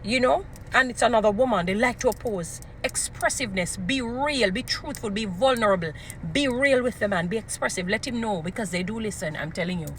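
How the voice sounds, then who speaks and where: A woman's voice: on the high side, a little fast, slight background noise. A woman with a Jamaican accent and a strong voice, giving informal, emphatic advice in a preachy way, repeating short phrases for emphasis. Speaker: relationship advice speaker. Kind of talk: informal motivational advice talk.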